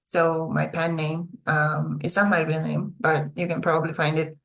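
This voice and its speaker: A woman's voice: sits low, level tone, moderate pace, gravelly, a bit bright. A young adult woman with a Hungarian accent chatting casually and a little hesitantly, as an author talking to her viewers. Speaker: author presenting own video channel. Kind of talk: casual chat to camera.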